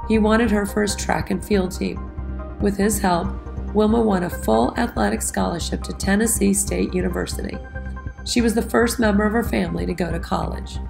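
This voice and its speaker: A woman reads a children's storybook aloud over clear background music. Her medium-pitched voice has a noticeable creak, and she phrases the narration expressively, with a sense of drama. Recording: music behind the voice.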